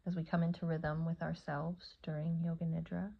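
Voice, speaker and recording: Low, even-voiced woman guiding meditation. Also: gravelly, muffled recording. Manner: soothing, unhurried, with long pauses between short phrases. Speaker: yoga nidra teacher and meditation guide. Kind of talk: guided meditation.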